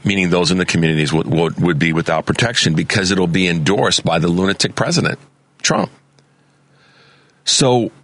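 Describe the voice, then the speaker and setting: A man's voice: deep voice, fairly bright tone, dry recording. A man hosting a talk radio show, delivering a fervent, preachy political monologue and repeating words as he gets worked up. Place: radio studio. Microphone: studio mic.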